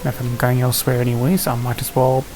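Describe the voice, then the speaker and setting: A man's voice: medium pitch, monotone, fast talker, a little mellow, a little hiss or hum. A man giving casual, hesitant gameplay commentary, muttering to himself as he plays. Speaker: gamer narrating own play. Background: game music and sound effects.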